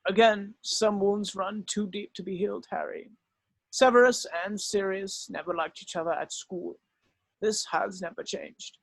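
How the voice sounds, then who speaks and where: Man playing a grave old wizard headmaster, high-pitched. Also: fairly even intonation, gravelly, no room sound, full character voice. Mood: grave, patient. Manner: measured, solemn, explanatory. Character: grave, weary old male wizard headmaster, gently remorseful. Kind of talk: dramatic script read-through.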